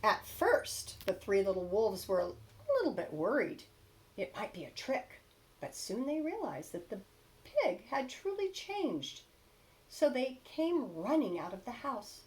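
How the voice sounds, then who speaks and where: A woman reading a children's storybook aloud expressively, with emphatic stresses and dramatic pauses. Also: medium pitch, sing-song, a little mellow, rough recording. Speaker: storybook reader.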